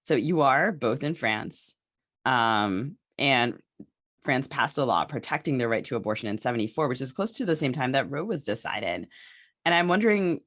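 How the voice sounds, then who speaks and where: Measured man, mid-pitched interviewer. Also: expressive, a bit bright. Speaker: podcast host asking questions. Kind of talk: interview questioning.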